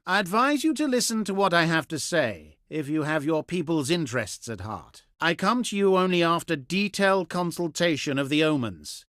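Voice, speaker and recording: Haughty ancient diplomat, animated pitch swings. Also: male voice, no echo, studio quality. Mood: courteous, self-important. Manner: formal, pompous, measured oratory. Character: haughty, cultured Etruscan diplomat, pious, omen-reading, condescending man. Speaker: diplomat envoy in a strategy game. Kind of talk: theatrical video game voice lines.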